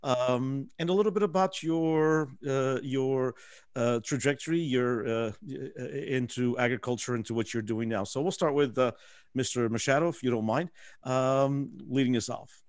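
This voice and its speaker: A man in his sixties moderating a panel on a video call, halting and full of restarts, speaking through an earbud headset in a book-lined office. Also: mid-range voice, smooth, dry recording, studio quality. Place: office with bookshelves, indoors.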